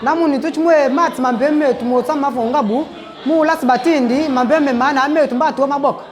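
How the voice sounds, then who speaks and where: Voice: high voice, moderate pace, some chatter behind. A speaker reciting in a rhythmic, chanting manner. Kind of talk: recitation in a local language. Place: museum hall.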